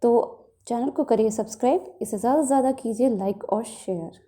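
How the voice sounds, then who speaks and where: Mellow, higher-voiced woman presenting updates. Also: a little fast. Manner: reassuring, mixes English words into Hindi. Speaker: video channel host. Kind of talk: explainer narration for an update video.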